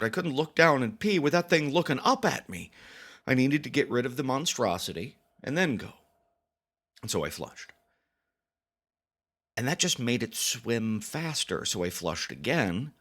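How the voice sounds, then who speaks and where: A man telling a first-person story as a dramatic confession, with deliberate pauses and mock-grave, theatrical emphasis. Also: medium pitch. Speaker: storytelling podcast narrator.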